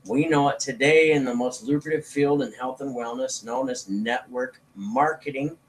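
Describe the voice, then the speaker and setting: A man's voice: medium pitch, steady tone, normal speed, low-quality recording. A man giving an informal sales presentation as a network marketing promoter, talking in a folksy, persuasive way.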